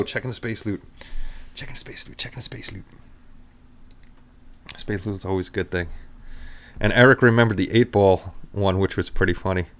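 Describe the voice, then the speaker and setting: A middle-aged man rambles easily through a casual live stream, talking straight to his viewers. His voice sits a little low and comes through smooth and clear. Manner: easygoing, rambling, chatting with viewers.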